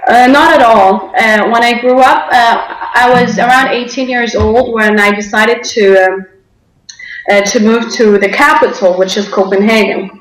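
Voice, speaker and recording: A woman's voice: on the high side, steady tone, unhurried. A young woman from Denmark giving personal testimony as an interview guest, speaking hesitantly, in a roomy, echoey sound.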